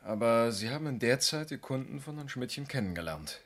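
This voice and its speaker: An actor playing a businesslike, pressing police lieutenant in a 1970s radio crime play. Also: male voice, mid-range voice, animated, brisk, a bit bright, dry recording. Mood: persistent. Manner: matter-of-fact, pressing. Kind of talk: 1970s German radio crime drama acting.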